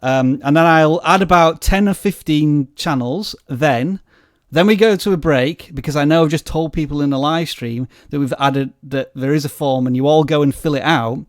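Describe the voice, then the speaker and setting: A man's voice: on the high side, normal speed, no room sound, clean studio sound. A man hosting a live-stream Q&A, rambling and self-deprecating in a casual chat. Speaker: host.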